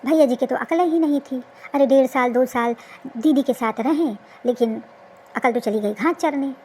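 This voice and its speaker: A woman's voice: high-pitched, normal speed, mellow, a little hiss or hum. A woman giving casual, colloquial gossip commentary in a teasing, exclamatory way with lots of rhetorical questions. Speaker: reaction video host. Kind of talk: casual gossip commentary on a social media dispute.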